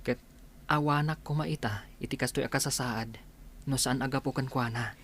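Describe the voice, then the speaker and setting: A man's voice: medium pitch, steady tone, moderate pace, a bit bright. A man playing a humble, grateful young doctor in an Ilocano radio drama.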